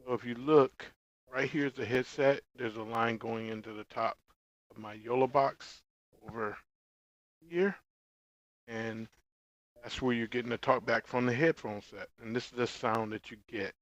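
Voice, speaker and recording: A man giving a casual product review, explaining informally in short, halting phrases. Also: medium pitch, steady tone, dry recording, dull audio. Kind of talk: casual gear review vlog.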